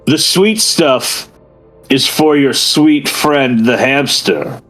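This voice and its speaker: A man playing an anxious adventurer who pleads and coaxes haltingly in a tabletop game. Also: mid-range voice, a bit bright, soft background music, rough recording. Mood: urgent coaxing.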